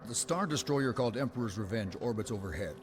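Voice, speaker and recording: A man's voice: lowish voice, expressive, fast talker, natural voice. An actor playing a stern, formal Imperial general, a commanding man in a space opera audio drama. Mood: formal, astonished.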